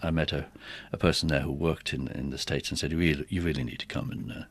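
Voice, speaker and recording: A man's voice: very deep voice, fairly even intonation, quick pace, fairly bright tone, slightly rough, dry recording. A middle-aged man chatting casually as an interview guest, looking back over his working life as a musician. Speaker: interview guest, theatre organist. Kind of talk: casual conversational reminiscence.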